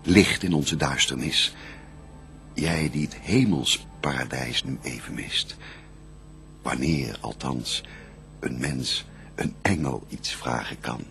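The first man on earth, a devout bridegroom in a radio verse drama. His very low, creaky voice declaims formally and reverently, with music behind it. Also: slow pace, long pauses, fairly bright tone, exaggerated voice. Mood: reverent, welcoming.

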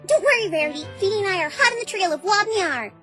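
A woman playing a hyperactive, silly, excitable young party pony with a bubbly, emphatic manner in a fan dub. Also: very high-pitched, quick pace, faint music behind. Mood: bubbly, insistent. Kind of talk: fan-dub visual novel character acting.

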